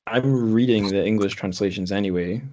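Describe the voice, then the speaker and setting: A man's voice: lowish voice, fairly quick, dark tone, slight background noise. A man hosting a podcast in casual, unscripted chat, hesitant and correcting himself as he thinks aloud. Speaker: podcast host. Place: room in a home.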